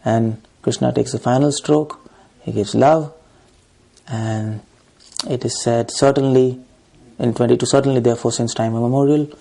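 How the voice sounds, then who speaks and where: Gravelly, mellow Indian man preaching. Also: mid-range voice, steady tone, normal speed, long pauses. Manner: emphatic, repetitive phrasing in short bursts. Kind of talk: scripture lecture with recited Sanskrit verses.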